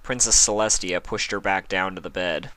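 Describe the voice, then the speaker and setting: A man narrates a written fan story in a low voice. The reading is scripted, and the recording is dry and close. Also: fairly even intonation, normal speed, sharp tone, no echo. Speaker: fan fiction reader.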